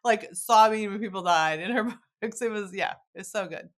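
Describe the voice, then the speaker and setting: A woman's voice: medium pitch, moderate pace. A woman podcast host chatting casually with a guest, informal and effusive in how she talks. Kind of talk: casual interview chat.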